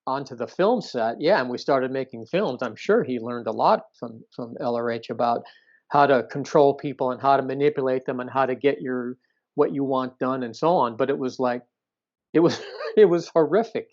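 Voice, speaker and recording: Male interview guest, mid-range voice, conversational storyteller with halting, repetitive phrasing; muffled lo-fi audio. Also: medium pitch, a little mellow. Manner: halting, often repeating words and rephrasing. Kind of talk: conversational recounting of past experiences. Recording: dull, lo-fi sound.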